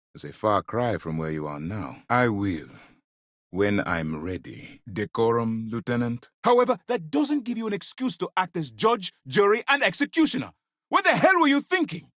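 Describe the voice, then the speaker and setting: An actor playing a stern, principled military space-fleet commander in a video game, speaking formally and with measured authority. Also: male voice, on the low side, dry recording, studio quality, lightly acted. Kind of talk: dramatic game character dialogue.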